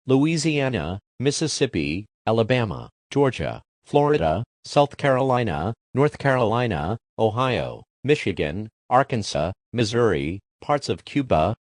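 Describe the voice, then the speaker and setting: A man reading a scripted emergency alert as a formal, measured broadcast announcer. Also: on the low side, no room sound, clean studio sound. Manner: formal, measured official delivery.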